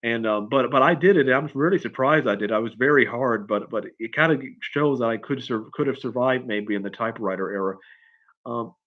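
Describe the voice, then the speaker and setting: A man chatting casually on a livestream as a writer answering questions, in a halting, rambling way, often repeating and restarting his phrases. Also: mid-range voice, lo-fi sound. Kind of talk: casual unscripted chat, answering viewer questions.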